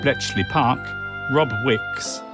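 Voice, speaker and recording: A man's voice: medium pitch, takes it slowly, over music. A man reading scripted closing credits as announcer.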